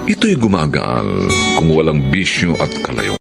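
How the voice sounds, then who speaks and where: Casting: solemn male announcer, gravelly and hugely expressive, theatrical intro narration over loud guitar. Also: extremely low pitch, moderate pace. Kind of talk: dramatic scripted intro narration. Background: loud plucked guitar music.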